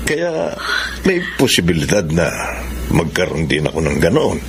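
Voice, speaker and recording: An actor with a Filipino accent playing an ailing husband and father, gentle and anxious, in a radio drama. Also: low-pitched, huge pitch swings, moderate pace, noisy background, heavily acted. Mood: worried, pleading.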